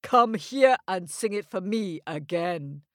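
A towering, two-headed male giant with a greedy, tricky streak, booming and bellowing as he coaxes, as a woman storyteller plays him. He talks slowly in a dry, close sound. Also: animated, a bit bright, dry recording. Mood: booming, wheedling. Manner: roaring, bellowing.

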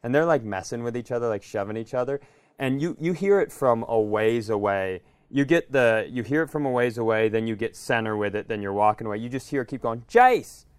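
An American man hosting a comedy podcast, telling sweary, animated anecdotes in a casual style. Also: medium pitch, fairly quick, smooth, no room sound. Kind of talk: casual comic storytelling.

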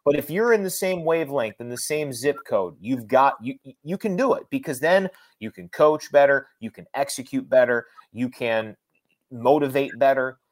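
A man's voice: mid-range voice, animated, moderate pace, dry recording. A man talking sports as a guest analyst, explaining his points emphatically and repeating phrases to drive them home. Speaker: radio play-by-play announcer, guest analyst.

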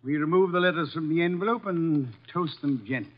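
An actor playing a fat, shrewd, commanding middle-aged detective with formal, precise diction in a 1950s radio drama. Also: male voice, fairly high pitch, fairly quick, close to an everyday voice. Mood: imperious, measured. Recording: dull, lo-fi vintage radio recording.

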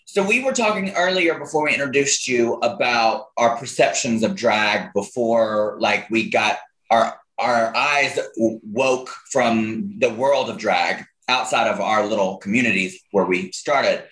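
A man co-hosts a casual conversation, chatty and encouraging. His voice has a bright, tense edge, and he often chimes in with quick agreement. A roomy echo surrounds the recording. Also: mid-range voice. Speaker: podcast co-host. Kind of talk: casual chat.

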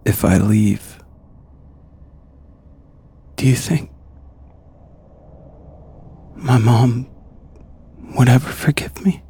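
An actor playing a grieving, fearful young person in an audio drama, speaking intimately with halting pleas. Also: medium pitch, gravelly, dark tone, husky, exaggerated voice. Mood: anguished, searching.

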